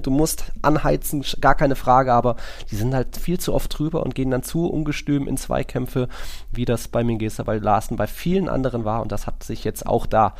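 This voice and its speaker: A man co-hosting a football podcast, talking casually in a rambling, colloquial and animated way. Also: mid-range voice. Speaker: podcast host.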